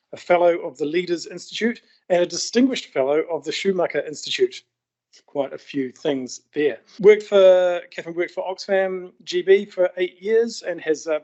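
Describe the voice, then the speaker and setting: A man with a slightly higher voice moderates an event, reading a speaker's credentials in a formal way. He goes down the list steadily. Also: a little mellow.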